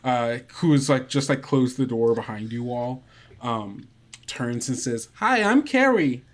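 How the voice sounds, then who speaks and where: Male game master, mid-pitched, improvised scene descriptions with halting, thinking-aloud phrasing. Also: flat delivery, darkish tone. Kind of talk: improvised tabletop game narration.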